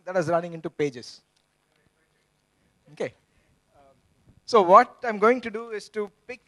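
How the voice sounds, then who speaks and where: A man moderates a conference panel with a notably high voice. He speaks in a measured way and pauses often. The sound comes from a handheld microphone on stage.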